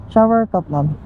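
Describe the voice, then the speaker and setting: A woman's voice: fairly low pitch, phone-quality sound. A woman with a Filipino accent chatting casually and jokingly with a passenger as a motorcycle taxi rider and vlogger. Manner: joking, teasing. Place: on a motorcycle on the street. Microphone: helmet intercom headset. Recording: clear traffic and engine noise.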